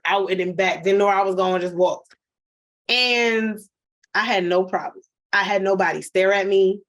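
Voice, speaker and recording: A middle-aged woman, co-hosting a podcast and telling a travel story in casual chat, animated and emphatic, speaking straight to listeners. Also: medium pitch. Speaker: podcast co-host.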